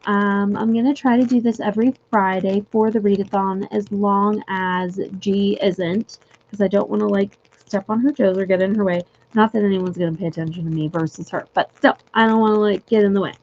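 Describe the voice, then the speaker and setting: Mellow chatty woman, slightly dull audio. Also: mid-range voice, fairly even intonation, a little fast. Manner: chatty, giggly, lots of fillers. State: losing her voice. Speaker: livestream host. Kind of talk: casual livestream chat with viewers. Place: home room.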